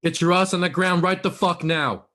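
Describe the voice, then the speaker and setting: Man acting out a high-voiced, barking aggressive cop. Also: steady tone, moderate pace, sharp tone. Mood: furious, threatening. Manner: barking, profane commands. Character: aggressive male cop, foul-mouthed, panicky and self-justifying. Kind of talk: scripted table read acting.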